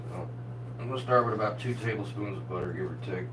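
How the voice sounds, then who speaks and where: A man cooking in his home kitchen and chatting with viewers on a live stream, his voice hoarse as he gets sick. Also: fairly low pitch, fast, recorded from a distance, heavy background noise. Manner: chatty, greets viewers by name while cooking. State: voice going hoarse, getting sick. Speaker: home cook livestreaming. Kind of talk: casual cooking livestream. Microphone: phone camera.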